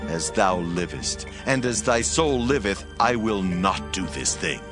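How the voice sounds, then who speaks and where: A low-voiced, resolute soldier. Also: male voice, animated, a little slow, over music. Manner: earnest, resolute. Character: loyal, principled soldier refusing comfort before his king. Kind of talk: dramatized Bible audiobook acting.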